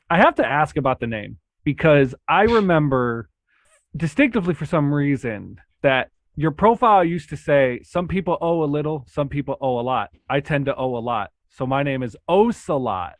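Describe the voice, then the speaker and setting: A man hosting a casual podcast chat, relaxed and heavy on filler words. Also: moderate pace, no echo. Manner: relaxed, lots of "like" fillers, rises in pitch when excited. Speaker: podcast host.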